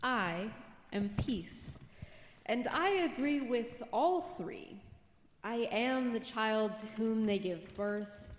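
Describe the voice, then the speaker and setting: A woman playing Peace, a steady, self-assured motherly figure, in a dramatized church reading. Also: a little high, slow pace, slight background noise. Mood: assured, earnest. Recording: echoey hall, long reverb.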